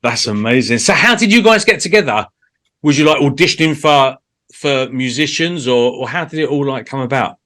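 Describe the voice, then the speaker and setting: A man's voice: mid-range voice, expressive, a little fast, fairly bright tone. A British man hosting a casual interview, chatting in an informal, blokey, sweary way. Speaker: show host and interviewer.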